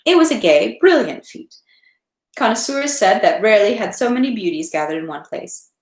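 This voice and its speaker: A woman's voice: mid-range voice, sing-song, moderate pace, a little mellow, reverberant. A woman reading a classic novel aloud in an unpolished amateur way, with occasional stumbles. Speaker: reader of a read-aloud series. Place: indoors at home, echoey room.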